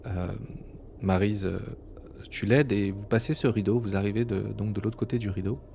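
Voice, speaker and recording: Low-voiced man running the game. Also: vocal fry, slight background noise. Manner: relaxed, halting. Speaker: game master. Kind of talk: narrating a tabletop game.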